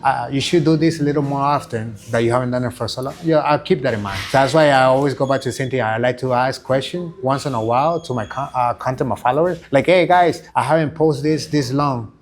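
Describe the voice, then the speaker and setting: A man's voice: mid-range voice, fast talker, few pauses, slight background noise. A middle-aged man from Venezuela with a Venezuelan Spanish accent, a fighter and coach giving rambling interview answers in a gym. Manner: rambling, many fillers and restarts.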